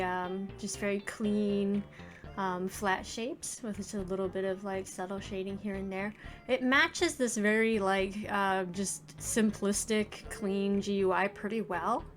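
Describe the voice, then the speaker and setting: A woman in her forties giving casual first-impressions game commentary, thinking aloud in hesitant, trailing phrases. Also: medium pitch. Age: 40s. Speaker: let's play host, indie game developer. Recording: music playing behind the voice. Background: video game music.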